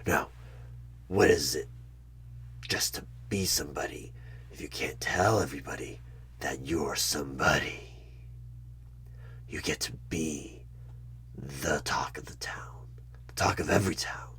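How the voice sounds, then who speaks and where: A man voicing a sly, coaxing demon recruiter in a husky whisper for a horror ASMR audio roleplay. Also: a bass voice, a bit bright, exaggerated voice. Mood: seductive, coaxing. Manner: slow, drawn-out, insinuating, persuasive.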